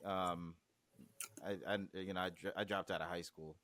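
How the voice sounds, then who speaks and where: Animated man with a low voice. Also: normal speed, no room sound, studio quality. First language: Swahili. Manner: animated, trailing off and restarting. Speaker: music producer. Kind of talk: casual interview chat.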